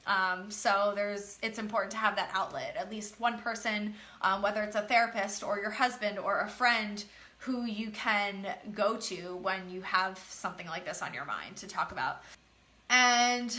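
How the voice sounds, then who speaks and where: A woman's voice: medium pitch, bright, forceful tone. A woman business coach giving a casual, emphatic motivational talk, slightly nasal and congested from a cold, repeating her key phrases.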